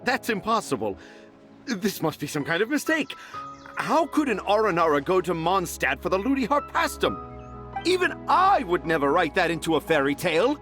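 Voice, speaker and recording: A man's voice: a little high, a bit bright. An actor playing a stubborn, easily exasperated storyteller father in a video game, with a theatrical, emphatic delivery.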